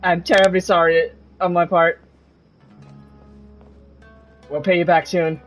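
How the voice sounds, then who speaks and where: A man's voice: sits high, level tone, measured pace, a bit bright. A man giving casual live commentary as he streams a video game. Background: game music.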